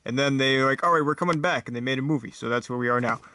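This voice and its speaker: A man's voice: mid-range voice, racing, sharp tone, close to an everyday voice. A man voicing a self-assured, casual king who chats and explains as a guest on a mock talk show. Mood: chatty. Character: pompous male king guest, cool and chatty.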